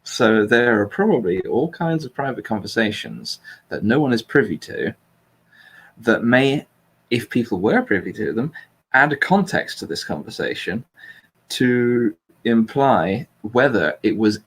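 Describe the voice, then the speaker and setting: A man talking casually through politics, in a measured, questioning way that hedges his claims. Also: medium pitch, expressive, slightly rough, low-quality recording. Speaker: host discussing a political transcript. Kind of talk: casual conversational political discussion.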